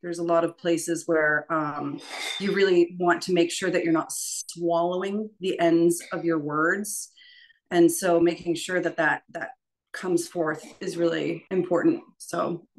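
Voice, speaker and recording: A woman leading an informal teaching discussion, thinking aloud in a halting way with frequent chuckles. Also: lowish voice, fairly even intonation, a little hiss or hum. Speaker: session leader and voice adjudicator.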